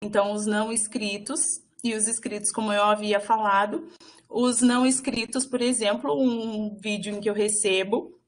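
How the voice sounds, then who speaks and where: A woman interpreter giving live voice to a signed lecture, speaking in halting phrases with frequent fillers and restarts. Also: mid-range voice, fairly even intonation. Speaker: sign language voice interpreter for a workshop.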